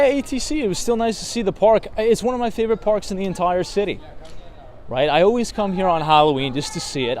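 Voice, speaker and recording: A hoarse man with a slightly higher voice talks casually while walking city streets, recorded outdoors on a handheld phone. Traffic hums behind him, and his delivery is loose and chatty, full of fillers. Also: darkish tone. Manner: chatty, filler-heavy, frequent "right?". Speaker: vlogger talking markets on a city walk. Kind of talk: casual livestream chat, reading viewer comments. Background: traffic sounds.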